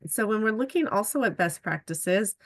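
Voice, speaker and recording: A woman's voice: mid-range voice, expressive, no echo, studio quality. A woman moderating a webinar panel, asking questions in a conversational way with frequent "um"s. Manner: conversational, fills pauses with "um".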